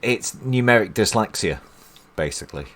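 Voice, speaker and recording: Rambling low-voiced English man. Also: expressive, moderate pace, a bit bright, a little hiss or hum. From England. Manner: rambling, with many restarts and fillers. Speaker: stream host. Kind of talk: casual chat.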